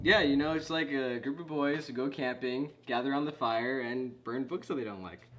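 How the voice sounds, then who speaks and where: A young man acting in a comedy sketch, talking in a smug, offhand, deadpan way. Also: medium pitch, moderate pace, a little hiss or hum.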